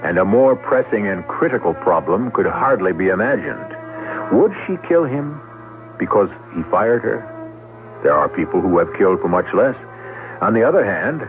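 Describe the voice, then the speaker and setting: An old radio broadcast with a tinny tone and faint music. A man narrates in a low, expressive voice. He is measured and theatrical, like a philosophical storyteller. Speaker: radio drama host and narrator. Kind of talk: 1970s scripted radio narration.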